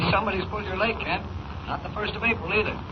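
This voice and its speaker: Sardonic gruff newspaper boss, kinda high, on the phone, dull noisy old radio sound. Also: male voice, fast talker. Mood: impatient, wry. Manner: brusque, sardonic. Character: gruff, breezy male newspaper editor boss. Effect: heard down a telephone line. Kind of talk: 1940s radio drama acting.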